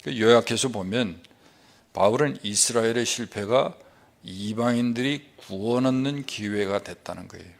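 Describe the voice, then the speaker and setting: A man pastor preaching a sermon, talking in quick runs with frequent fillers between phrases. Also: on the low side, creaky.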